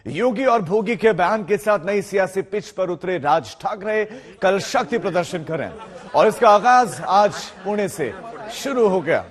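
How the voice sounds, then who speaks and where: A man's voice: high voice, animated, unhurried. A man presenting scripted news as an anchor. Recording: long reverb, crowd noise.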